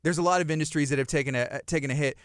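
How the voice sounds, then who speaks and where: A man's voice: fairly high pitch, very fast, a bit bright, clear, resonant tone, no echo. A man hosting a morning radio show, interviewing a guest in studio in an upbeat, encouraging way.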